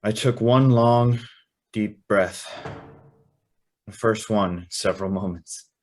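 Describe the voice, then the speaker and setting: A low-voiced, anxious young hero. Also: male voice, takes it slowly. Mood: tense narration. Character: bewildered, anxious young man, earnest hero-narrator. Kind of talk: old-time radio drama acting and first-person narration.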